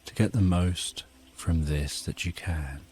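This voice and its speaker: A man narrating a sleep story in a calm, gentle, guiding way. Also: deep voice, slow, a little hiss or hum.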